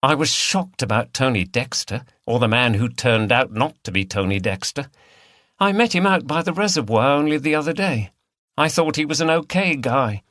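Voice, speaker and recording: A man's voice: medium pitch, animated, moderate pace, fairly bright tone, dry recording, clean studio sound, voice pushed up, natural voice. A man voicing an earnest, outspoken woman activist in an audiobook, with a frank manner. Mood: musing. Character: earnest, feisty, outspoken female countryside activist. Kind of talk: audiobook character dialogue.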